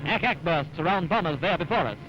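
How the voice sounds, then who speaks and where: High-pitched wartime newsreel man, dull old recording. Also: expressive, brisk, very noisy. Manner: dramatic, punchy, rousing delivery. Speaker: newsreel narrator. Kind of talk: 1940s wartime newsreel narration. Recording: dull vintage newsreel soundtrack with music. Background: music.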